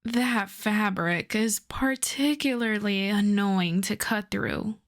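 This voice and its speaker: A woman playing a wry, flirtatious female supervillain coaxing a favor, in an intimate, conversational ASMR roleplay style. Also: mid-range voice. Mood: persuasive, wry. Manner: unhurried, teasing, conversational.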